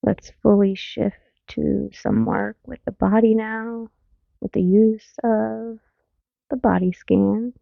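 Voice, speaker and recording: A woman mindfulness teacher leading a guided meditation in a gentle, soothing manner, leaving long pauses between phrases. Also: medium pitch, gravelly, no room sound. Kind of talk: guided meditation, body scan. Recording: narrow phone-like sound, very dry.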